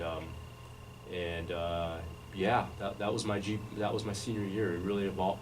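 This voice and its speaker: A low-pitched man speaks in an even, unhurried way, circling back and restarting his sentences as he recounts his past. He sits in a radio studio, but his voice arrives from some distance off the mic, with a steady hiss of noise behind it. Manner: measured, many restarts and fillers. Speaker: interview guest. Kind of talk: relaxed life-story interview. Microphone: studio microphone, recorded from afar.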